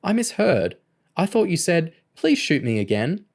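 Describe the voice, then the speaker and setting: A man's voice: high-pitched, lively intonation, moderate pace, voice pushed up. A man voicing a smug teenage girl in an audiobook, taunting with mock innocence. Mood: smug, teasing. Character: smug, teasing teenage girl with paintball gun. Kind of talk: audiobook character voice.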